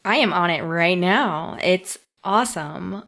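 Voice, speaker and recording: A woman's voice: on the low side, measured pace, fairly bright tone. A woman co-hosting a podcast, chatting casually about tech and acting out her reactions as she tells stories. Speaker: podcast co-host.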